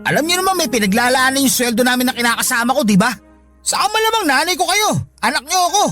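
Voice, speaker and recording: A woman's voice: high voice, sing-song, fast, fairly bright tone, faint music behind. A woman with a Filipino accent playing a lazy, insolent, bullying grown child in a radio drama. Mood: whiny, resentful. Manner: whiny, brash, defiant.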